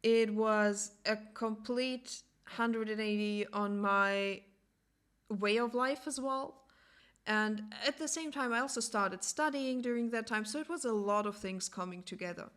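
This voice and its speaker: A young adult woman whose first language is German, hosting a podcast and speaking in a reflective personal monologue. She pauses often and searches for words. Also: on the high side, fairly bright tone, smooth. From Latvia. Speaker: podcast host.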